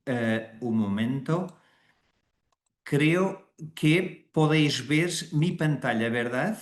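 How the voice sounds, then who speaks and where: A man with a Portuguese accent speaks slowly and carefully, pausing on fillers and restarting words as he presents. He is a Jesuit priest on a video call. His voice carries the echo of the room he sits in. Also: mid-range voice, animated. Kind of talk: unhurried webinar presentation. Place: indoors in Rome.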